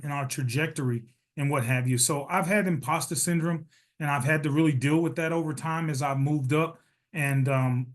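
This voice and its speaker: A middle-aged man answering as a panelist, animated and joking, often restarting his sentences. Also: fairly high pitch. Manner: animated, many restarts, self-deprecating humor. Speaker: panelist, retired captain and nurse leader.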